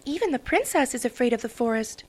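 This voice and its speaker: A woman's voice: fairly high pitch, animated, quick pace. A woman voicing an earnest, studious young female pony, speaking with a slightly scolding tone. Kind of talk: fan dramatic reading.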